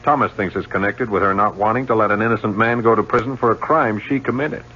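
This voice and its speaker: An actor playing a shrewd, persistent police lieutenant in a 1970s radio drama, measured and dryly insistent. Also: male voice, lowish voice, pushed and bright. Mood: probing, controlled. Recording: muffled, faintly noisy 1970s radio broadcast.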